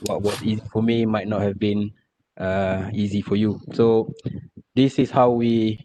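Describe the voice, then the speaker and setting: A Malaysian man giving a measured online presentation from slides, checking in with his audience often. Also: on the low side, fairly even intonation, mellow, dry recording, rough recording. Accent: Malaysian English. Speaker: lecturer. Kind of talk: virtual presentation.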